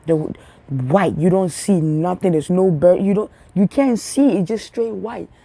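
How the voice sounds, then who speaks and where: A woman from Africa, a spiritual healer, talks casually to her audience in a rambling way, repeating words and often dropping into hushed tones. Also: on the low side, a little slow, gravelly. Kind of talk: casual spiritual talk to camera.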